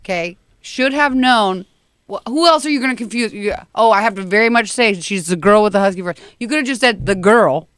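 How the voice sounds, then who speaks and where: A woman with a husky voice co-hosting a morning radio show, chatting animatedly and laughing often. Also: mid-range voice, fairly quick, fairly bright tone, dry recording. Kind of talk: casual morning radio banter. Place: radio studio.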